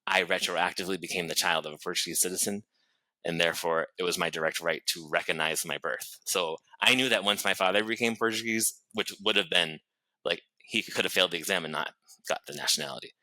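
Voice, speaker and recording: A man with a Boston accent, a guest on a podcast, telling his story in fast, chatty talk full of fillers and restarts. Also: deep voice, sharp tone, no echo, low-quality recording. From New Bedford, Massachusetts, USA. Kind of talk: casual interview chat.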